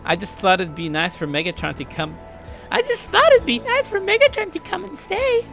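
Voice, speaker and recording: A man's voice: very high-pitched, animated, normal speed, gravelly, over music, pitched above the performer's own voice, exaggerated voice. A man voicing a shy, eager teenage anime girl in a visual novel, hesitant and flustered. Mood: shy, hopeful.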